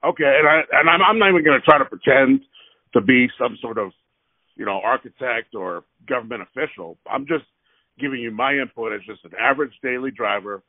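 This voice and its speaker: A man's voice: mid-range voice, fairly quick, dry recording. A man calling a transportation office from his car to complain about traffic, rambling and hesitant but pressing his point. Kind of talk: phone call. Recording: tinny phone line, dry.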